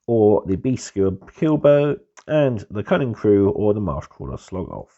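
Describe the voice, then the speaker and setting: A man with a cold, hoarse and husky, giving a relaxed, easygoing review of a hobby magazine as the channel's host. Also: medium pitch. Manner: relaxed, easygoing, keeps calling things pretty cool. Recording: dull lo-fi recording.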